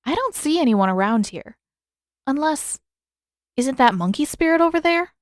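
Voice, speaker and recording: A woman's voice: high-pitched, expressive, moderate pace, no echo, pitched above the performer's own voice. An earnest young boy acted by a woman reader from an audiobook adventure. The voice is raised and youthful, with a nervous, questioning, polite way of talking, recorded dry. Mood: curious, uneasy. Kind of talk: fan fiction audiobook character voice.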